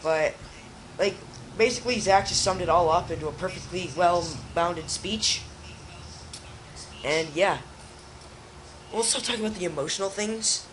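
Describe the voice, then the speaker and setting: Young male co-host, hoarse and raspy, rambling, faint noise behind. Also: high-pitched, a little slow, long pauses, fairly bright tone, low-quality recording. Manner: rambling, restarts often. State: hoarse from a cold. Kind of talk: casual chat.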